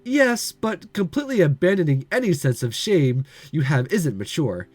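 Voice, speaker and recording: A man reading the lines of a blunt, teasing young woman, a childhood friend, in a calm, dry way. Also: fairly high pitch, normal speed, no room sound, faint music behind.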